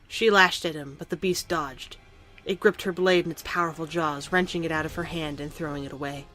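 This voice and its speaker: Female audio drama narrator, slightly low voice, scripted storytelling with faint music underneath. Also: fairly even intonation, a little fast, a bit bright, low-quality recording.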